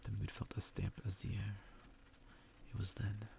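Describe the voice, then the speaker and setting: A man softly reading a story aloud as ASMR, often breathy and half-whispered, with a gravelly fry. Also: on the low side, monotone, normal speed, slight background noise. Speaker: ASMR reader. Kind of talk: soft ASMR reading of a visual novel. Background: music.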